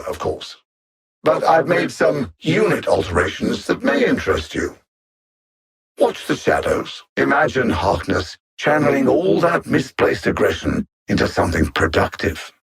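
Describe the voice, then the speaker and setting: A man playing a cold, arrogant alien machine supervillain in a video game, with a raspy voice and a condescending, menacing, deliberate delivery. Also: fairly high pitch, big pitch swings, fairly bright tone, full character voice. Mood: smug, menacing. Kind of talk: scripted video game villain dialogue.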